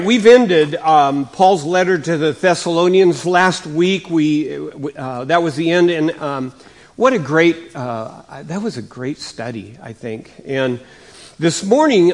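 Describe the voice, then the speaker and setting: A male pastor preaching a sermon in a conversational way, his voice slightly raspy from coughing, rising and getting louder to stress points. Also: fairly high pitch. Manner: conversational, with many fillers and restarts; rises and gets louder for emphasis.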